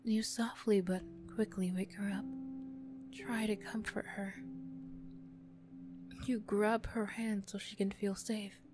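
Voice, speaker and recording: Slow-talking woman narrating ASMR. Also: mid-range voice, level tone. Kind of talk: soft ASMR story narration. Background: music, guitar.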